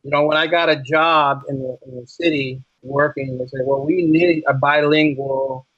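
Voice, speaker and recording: Male interview guest, medium pitch, conversational explainer, close dry sound that is a little lo-fi. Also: fairly even intonation, moderate pace, slightly rough, no echo. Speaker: podcast guest, ESL teacher. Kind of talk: casual interview chat.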